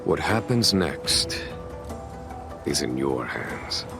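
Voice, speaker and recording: An actor playing a composed, commanding general in a game, speaking in a measured, formal and courteous manner. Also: male voice, extremely low pitch, very animated, gravelly, music in the background, heavily acted. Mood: calm, expository. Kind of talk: scripted game dialogue.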